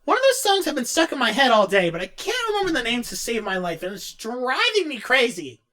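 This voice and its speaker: A woman voicing an excitable, awkward, geeky young male cartoon character who rambles and hesitates as he answers fan questions in character. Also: on the high side, fairly quick. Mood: casual, musing. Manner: animated, rambling, hesitant, self-interrupting.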